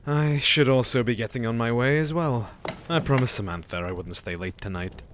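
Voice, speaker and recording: An actor playing a polite, relaxed Victorian gentleman in an audio drama. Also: medium pitch, moderate pace, a little hiss or hum, close to an everyday voice.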